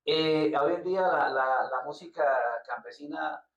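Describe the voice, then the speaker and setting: Colombian-born guy chatting in an interview, gravelly, keeps repeating words, far off mic in an echoey room. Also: a little high. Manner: halting, repeats words while searching for them. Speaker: guest musician. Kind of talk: casual interview. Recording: roomy, distant, dull lo-fi sound.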